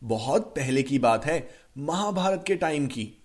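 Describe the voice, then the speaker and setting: A man narrating a scripted children's story in an expressive storytelling manner. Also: medium pitch, measured pace.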